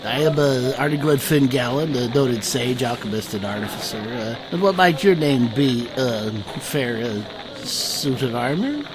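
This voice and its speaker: Man playing a halting, fussy scholarly sage, swooping intonation. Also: mid-range voice, lively intonation, a crowd in the background, close to an everyday voice, poor audio. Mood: politely hesitant. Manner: halting, polite, bookish, many uhs. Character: fussy, hesitant scholarly male sage and alchemist. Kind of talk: audio drama character acting. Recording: music behind the voice.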